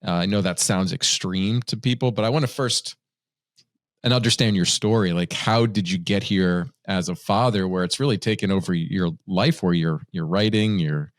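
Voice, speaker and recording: A man's voice: low-pitched, normal speed, dry recording, studio quality. A man hosting a podcast, asking a long question and rephrasing himself as he goes. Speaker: podcast host. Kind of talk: interview question.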